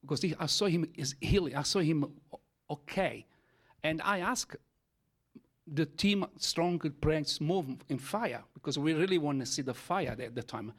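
Italian-accented man giving halting testimony. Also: on the high side. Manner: halting, with frequent fillers and broken English. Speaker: church speaker giving testimony. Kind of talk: testimony sermon.

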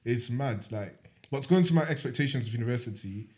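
A man in his twenties from Manchester, co-hosting a podcast in casual chat, telling animated stories full of slang. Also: mid-range voice, brisk. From Manchester, England. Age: 20s. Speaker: podcast co-host.

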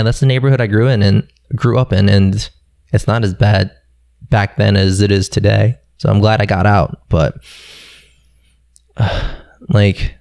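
A young man from Philadelphia talks casually about his childhood, rambling and swearing now and then. His voice sits low with a gravelly edge. The sound is dry and close. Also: darkish tone, dry recording. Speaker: guest. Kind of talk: casual conversation.

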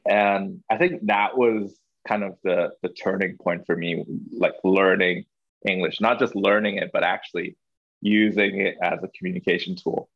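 A young man from Hong Kong, a podcast guest, talking in a relaxed, thoughtful way with lots of fillers. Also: low-pitched, normal speed, gravelly, dry recording, highs cut off. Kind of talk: casual interview.

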